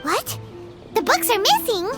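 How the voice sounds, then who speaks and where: A woman voicing a tiny, excitable and blunt fairy companion in a video game, with a squeaky childlike voice. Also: unhurried, exaggerated voice. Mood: surprised. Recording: loud music behind the voice.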